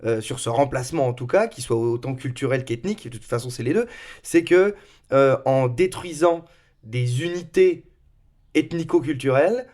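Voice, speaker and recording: A man's voice: mid-range voice, moderate pace, a little mellow. A man hosting a radio interview, who rephrases his questions hesitantly as he speaks. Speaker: radio host.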